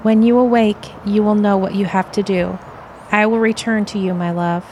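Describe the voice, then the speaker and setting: A woman's voice: medium pitch, steady tone, moderate pace, a little mellow, slight background noise, lightly acted. A woman playing an earnest, serene alien envoy in an audio play, with stilted, formal phrasing.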